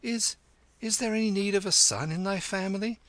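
A man voicing a cunning young street boy who flatters and wheedles. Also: high voice, normal speed, dry recording, voice pushed up. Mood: wheedling.

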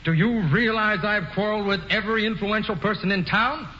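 A man's voice: high voice, brisk, slight background noise, natural voice. An actor playing an earnest, hot-tempered young male hero who stammers a little, on a dull old radio broadcast. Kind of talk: 1940s radio acting.

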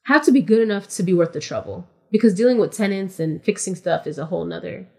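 A woman's voice: fairly low pitch, expressive, fast, vocal fry, warm tone. A woman from California, a podcast guest in casual interview chat, explaining things matter-of-factly and step by step with frequent "like".

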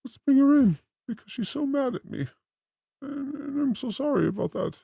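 A man's voice: extremely high pitch, animated, normal speed, creaky, mellow, no room sound. A man hosting a livestream with a rambling, theatrical comic monologue, exclamatory and given to swearing. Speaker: host of a livestream show.

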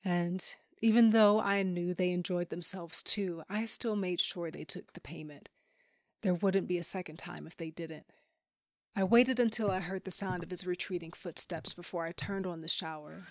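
A woman's voice: lowish voice, natural voice. An actress playing a grieving, bitter widow who hunts monsters, telling her story in an intense audio drama. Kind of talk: first-person audio drama narration with acted scenes.

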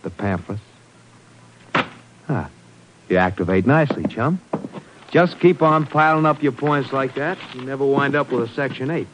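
A man's voice: medium pitch, normal speed, pauses often, natural voice. An actor playing a cocky, menacingly playful gunman who talks in sardonic slang, in 1950s radio drama style. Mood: mocking threat. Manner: slangy, sardonic tough talk. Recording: slightly hissy 1950s radio recording.

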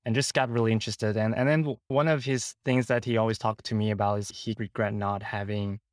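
A man with a Hong Kong accent speaks in a fairly low voice, recounting his own experiences in a casual interview style. The sound is dry and close, with little room to it. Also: fairly even intonation, brisk, no echo. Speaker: podcast guest. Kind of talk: casual interview, personal storytelling.

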